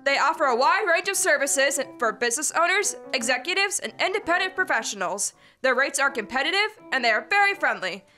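A young woman plays a chummy, peppy old-time radio announcer. The voice is high and bright with lively intonation. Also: fairly quick, hardly any pauses, soft background music, exaggerated voice. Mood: peppy, selling. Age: teens to young adult. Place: school library room, staged performance. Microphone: stand microphone on stage.

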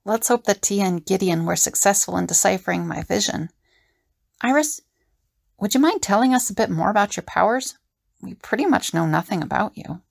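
A woman's voice: medium pitch, animated, moderate pace, gravelly. A woman playing a firm, caring, earnest team leader in an audio drama. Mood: encouraging.

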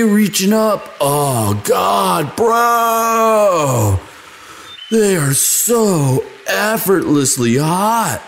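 Man acting a slow-talking, sweet himbo boyfriend. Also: high voice, slight background noise, full character voice. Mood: awed, flustered. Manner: slow, dude-ish drawl full of likes and sighs. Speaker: audio roleplay performer. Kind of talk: intimate boyfriend roleplay, casual chat.

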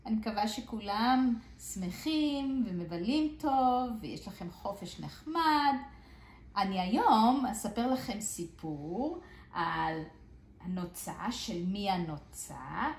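A middle-aged woman, a teacher, reading a picture book aloud to children in an animated way, adding short English glosses. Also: on the high side, reverberant. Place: indoor living room, seated in armchair.

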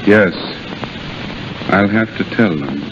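An actor playing a steady, restrained young English gentleman in a 1930s radio drama. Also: fairly low pitch, takes it slowly, background noise. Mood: grave. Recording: muffled 1930s radio broadcast with music.

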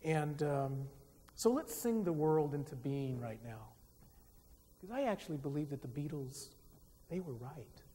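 A middle-aged man gives a public lecture on stage in a large dark auditorium, speaking into a podium microphone in a conversational, self-deprecating way. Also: on the high side, animated, a little slow. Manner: conversational, self-deprecating, gets the audience singing. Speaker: forum speaker. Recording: reverberant hall with faint hiss. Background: live audience.

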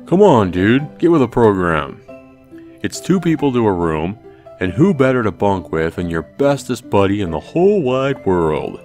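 A man's voice: fairly low pitch, very animated, normal speed, darkish tone, faint music behind. A man voicing a cocky, goofy teenage schoolboy from a visual novel, brash and teasing. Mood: eager, cocky. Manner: brash, teasing dude talk.

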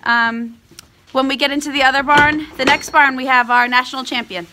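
A woman's voice: high-pitched, steady tone, normal speed, background noise. A middle-aged woman, an alpaca farmer, chatting and explaining as she films herself on a phone in a cold wooden barn. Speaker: alpaca farmer and vlog host. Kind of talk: casual explanatory vlog. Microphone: phone held at arm's length.

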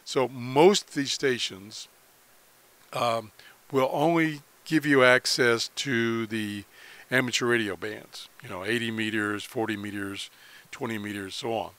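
Man, medium-pitched voice, hobbyist presenter, casual unscripted tutorial, halting phrasing, mid-sentence pauses. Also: steady tone, a bit bright. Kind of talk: casual unscripted screen-capture walkthrough.

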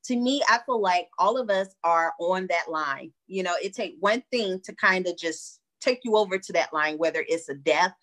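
A woman's voice: on the low side, normal speed, few pauses, a bit bright. A middle-aged woman hosts an interview over a home webcam. She is chatty and encouraging, often laughing along with her guest. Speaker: host. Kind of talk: casual video call interview. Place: living room with fireplace, indoors. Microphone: video call webcam.

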